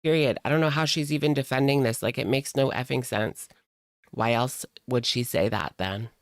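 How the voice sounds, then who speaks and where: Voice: medium pitch, moderate pace, no echo, studio quality. A streamer giving casual reaction commentary in a gossipy, sardonic, conversational way.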